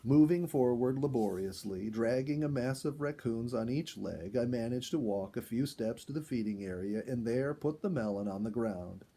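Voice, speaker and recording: A man reading a first-person animal story aloud in an expressive storyteller's style. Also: mid-range voice, normal speed, hardly any pauses, breathy edge. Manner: expressive, acts out dialogue. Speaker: storyteller, reader.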